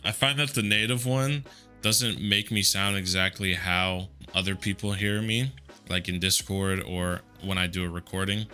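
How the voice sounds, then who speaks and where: A man with a deep voice walking through software settings in a relaxed, conversational way, with a headset mic. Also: moderate pace, smooth, soft background music. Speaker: tutorial host. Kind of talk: casual screen-recorded software tutorial.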